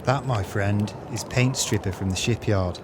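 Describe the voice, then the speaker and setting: An actor playing a gleeful, crooked swindler with a sly, florid, falsely friendly manner in an audio play. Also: male voice, fairly low pitch, normal speed, background noise, close to an everyday voice. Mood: sly scheming.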